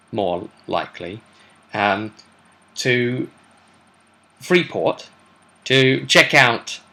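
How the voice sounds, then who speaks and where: A man's voice: mid-range voice, sharp tone, low-quality recording. A man hosting a tabletop role-play vidcast as game master, casually recapping a session with rambling, chatty asides.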